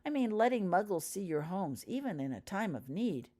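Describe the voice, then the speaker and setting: An earnest schoolboy wizard , played by a woman, talks in a friendly way. The storybook voice has an animated, changing melody. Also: mid-range voice, normal speed, voice pushed up. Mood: doubtful.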